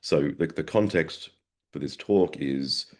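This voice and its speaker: A man with a sore throat and a gravelly voice, giving a webinar lecture over a video call, halting and repeating words as he goes. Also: a bass voice. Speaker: guest presenter, psychiatrist.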